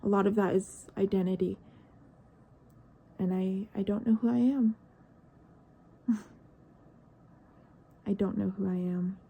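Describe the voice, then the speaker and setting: Nineteen-year-old woman, vlogger; hesitant, reflective monologue, dark mellow tone. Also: medium pitch, fairly even intonation, slow pace, gravelly, pauses often, husky, muffled recording. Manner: searching, hesitant, trails off into questions.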